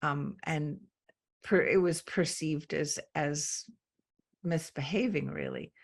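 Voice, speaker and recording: A woman from England, a guest in a conversation, speaking reflectively with frequent pauses and ums. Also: low voice, vocal fry. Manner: slow, thoughtful, with many pauses and ums. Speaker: interview guest.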